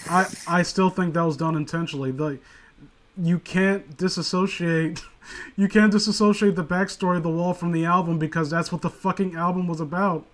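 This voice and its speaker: A man's voice: sits high, steady tone, normal speed. A man casually hosting an online group commentary, rambling through his opinions with plenty of swearing. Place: remote online voice call.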